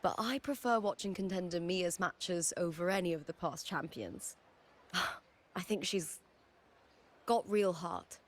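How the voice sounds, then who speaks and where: An actress playing an upbeat, fiery young female arena fighter in a video game, chatty and animated. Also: fairly low pitch, slow pace. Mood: earnest, thoughtful. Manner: animated, breezy, casually chatty. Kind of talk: video game character acting.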